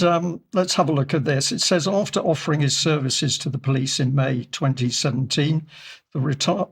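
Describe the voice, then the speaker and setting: Croaky-voiced man presenting news. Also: medium pitch. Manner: deliberate, stresses key points slowly. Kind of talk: measured news commentary. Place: studio.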